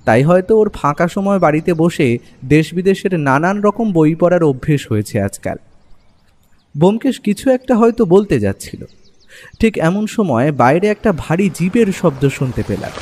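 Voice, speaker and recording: A man narrating a scripted first-person story as an expressive storyteller. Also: a little high, normal speed. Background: music.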